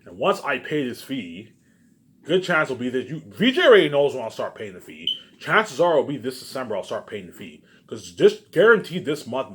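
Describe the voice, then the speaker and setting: A man giving a rambling, unscripted, repetitive monologue to viewers, emphatic, with loud bursts that drop into muttered asides. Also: mid-range voice. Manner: repetitive, circling back to same phrases. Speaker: online video maker.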